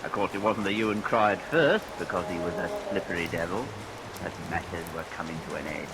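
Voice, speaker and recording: A man's voice: low-pitched, brisk, over loud music. A man playing a wry storytelling narrator of a lurid vintage radio melodrama. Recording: vintage radio recording, loud rain noise.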